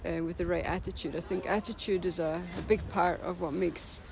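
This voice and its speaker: Earnest Scottish-accented woman, loud street noise. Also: on the low side, fairly even intonation, a little fast, gravelly. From Scotland and Denmark. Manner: earnest, reflective, restarts often. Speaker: interview guest. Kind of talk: candid conversational interview. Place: outdoors by a city river. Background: traffic and city ambience.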